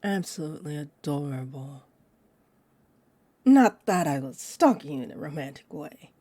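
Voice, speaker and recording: A woman playing a possessive, condescending, centuries-old female vampire in an audio roleplay, speaking to the listener in a haughty, amused manner that drifts toward breathy murmurs. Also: low-pitched, expressive, moderate pace, mellow, no room sound. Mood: teasing, possessive. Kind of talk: roleplay audio drama monologue, addressed to listener.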